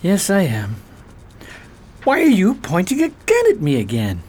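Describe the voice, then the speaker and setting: A woman's voice: fairly low pitch, huge pitch swings, a little slow, dark tone, husky, heavily acted. A woman voicing a cowardly thirty-year-old male stalker, wheedling and sullen, in an amateur radio drama. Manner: wheedling then sullen and whiny.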